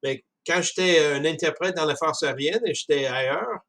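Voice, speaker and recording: Halting man with a Canadian French accent. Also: on the high side, fairly bright tone. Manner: halting, with frequent restarts and chuckles. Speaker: speaker discussing a book. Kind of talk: casual spoken commentary.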